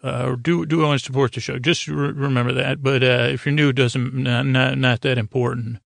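Male podcast host, creaky and gravelly, mid-pitched, stammering through meandering bedtime rambles, recorded close and dry. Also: level tone, fairly quick, dry recording. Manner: meandering, stammering, keeps derailing himself.

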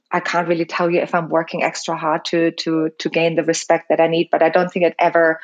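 German-accented woman, low flat voice. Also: dull audio. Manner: thoughtful, with many fillers and restarts. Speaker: founder of a fitness studio chain. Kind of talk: reflective podcast interview answers.

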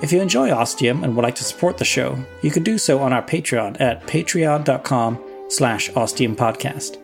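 A man's voice: medium pitch, lively intonation, moderate pace, creaky. Male podcast host over background music. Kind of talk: scripted promo and credits narration.

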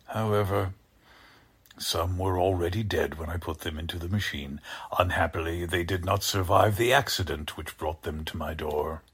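An actor playing a deranged, coldly polite mad scientist in 1940s radio drama style, speaking in a deliberate, menacingly formal manner with a husky, creaky voice. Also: male voice, deep voice, a bit bright. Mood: coldly matter-of-fact.

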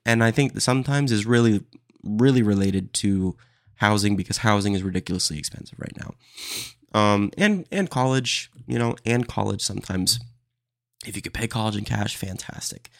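A man's voice: lowish voice, normal speed, gravelly. A young man hosting a casual comedy podcast, a bit nasal from allergies, blunt and opinionated and full of "dude". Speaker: podcast host.

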